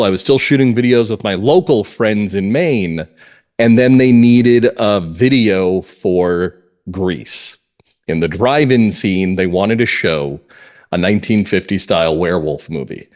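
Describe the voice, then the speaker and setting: Male, middle-aged, New England native, lowish voice, halting conversational storyteller in an interview. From New England, United States. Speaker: interview guest, playwright and actor.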